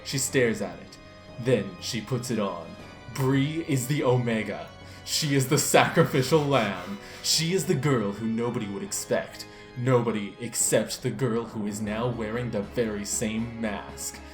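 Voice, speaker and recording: A young adult hosts and narrates an amateur dramatic reading, casual and theatrical by turns. The mid-pitched voice has a roomy echo, with faint music behind. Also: a little slow. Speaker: narrator and host of a group reading.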